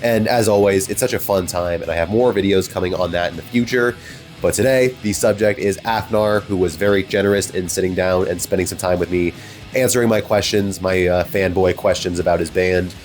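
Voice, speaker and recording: A man's voice: low-pitched, fairly even intonation, a little fast, darkish tone, faint music behind. A man with a nasal, congested voice from being sick, talking as the host of a fan interview channel. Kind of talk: enthusiastic fan interview and vlog talk.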